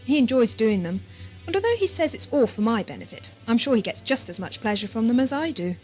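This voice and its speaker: A woman's voice: on the high side, sing-song, a little fast, darkish tone. A woman playing a naive, devoted, childlike young woman in an audio drama, with a hesitant girlish manner. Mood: bright, earnest. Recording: amateur 1980s audio drama with music.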